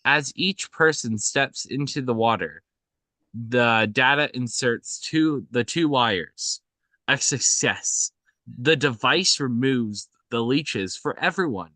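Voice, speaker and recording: A man reading out screenplay action at a table read in a halting way, often stumbling and correcting himself. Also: medium pitch, expressive, bright, forceful tone, no echo. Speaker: reader of stage directions. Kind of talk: scripted narration at a table read.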